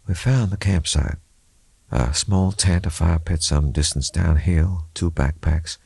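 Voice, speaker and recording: Troubled female officer read by a man, gentle, halting, slightly lifted pitch, close dry audiobook sound. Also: vocal fry, husky, no room sound, exaggerated voice. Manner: careful, hesitant, groping for words. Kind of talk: audiobook character acting.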